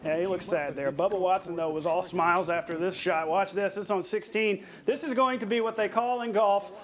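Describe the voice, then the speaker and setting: A man anchoring a television sportscast in a playful, conversational way, reading highlights and bantering with a co-host. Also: steady tone, a little fast. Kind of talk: upbeat scripted sportscast narration with banter.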